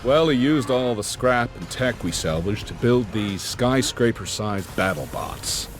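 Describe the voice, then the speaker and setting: A man's voice: lowish voice, expressive, unhurried, slight background noise. An actor playing a folksy older male adventurer who tells war stories to a youngster in a game cutscene. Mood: wry, dramatic. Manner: folksy, slangy, reflective storytelling. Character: folksy older male adventurer, warm storytelling uncle type. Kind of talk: cinematic game cutscene narration. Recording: music behind the voice.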